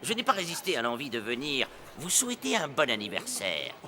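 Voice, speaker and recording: An actor playing a combative, principled newspaper director in a cartoon, articulate and bitingly ironic. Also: male voice, medium pitch, wildly swooping pitch, fairly quick, sharp tone, a little hiss or hum, full character voice. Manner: dry irony, articulate and biting. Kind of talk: French animated series acting. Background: music.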